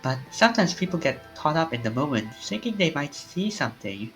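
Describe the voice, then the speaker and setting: A streamer reads a visual novel's lines aloud in a very animated voice. The pitch climbs and drops a lot as the dialogue shifts from speaker to speaker. The delivery sits at a middle pitch overall. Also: brisk, soft background music.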